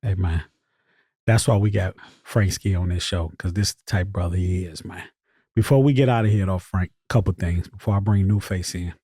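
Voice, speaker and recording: Low-voiced, husky middle-aged male host. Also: normal speed, dark tone, no echo, clean studio sound. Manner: informal, reactive, repeats short interjections. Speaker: podcast host. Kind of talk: casual interview chat.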